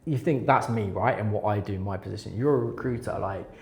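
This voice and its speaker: A man's voice: fairly low pitch, fast, a little mellow. A man talking casually as a podcast guest, informal and hesitant, repeating words for emphasis. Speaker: podcast guest, recruitment technology consultant. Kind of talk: casual interview chat. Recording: echoey room sound.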